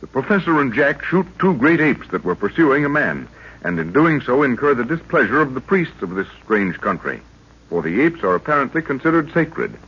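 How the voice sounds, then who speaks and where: A man's voice: mid-range voice, sing-song, normal speed, slightly rough. A man narrating an old radio adventure serial in a formal, dramatic announcer's manner. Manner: dramatic, formal recap delivery. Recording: muffled old radio recording.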